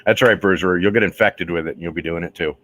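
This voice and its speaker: A man's voice: low voice, fast, fairly bright tone. A man hosting a livestream, chatting casually in a rambling, easygoing way and often breaking off mid-sentence. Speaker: livestream host. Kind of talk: casual group chat.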